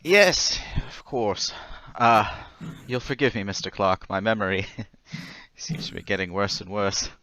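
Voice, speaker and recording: A man's voice: lowish voice, unhurried, few pauses, pushed and bright, no room sound. A man playing a nervous, apologetic middle-aged traveling salesman in a radio drama, stammering and hesitant. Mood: flustered, anxious. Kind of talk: old-time radio drama acting. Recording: dull, lo-fi audio.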